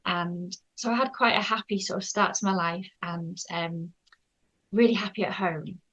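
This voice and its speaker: Gentle, hesitant woman. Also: on the low side, moderate pace, slightly rough, lo-fi sound. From North Yorkshire, England. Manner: shy, gentle, hesitant with many ums. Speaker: guest artist. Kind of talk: casual interview chat.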